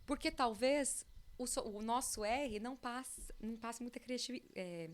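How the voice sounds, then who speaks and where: A woman's voice: a little high, a little slow. A woman in her forties with a São Paulo countryside Brazilian accent, a guest talking freely on a podcast and often breaking off mid-sentence. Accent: São Paulo countryside Brazilian Portuguese. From interior of São Paulo state, Brazil. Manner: chatty, animated, breaks off and restarts. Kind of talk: casual podcast chat. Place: farm in the countryside.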